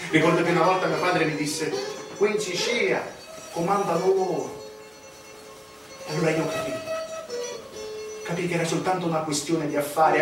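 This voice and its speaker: An actor playing an arrogant, unrepentant Sicilian mafioso, delivering a solemn, declamatory stage monologue with dramatic pauses. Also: male voice, a little high, natural voice. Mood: proud, reminiscent. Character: proud unrepentant Sicilian male mafioso confessing, arrogant, self-righteous. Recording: echoey distant stage recording with music. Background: music.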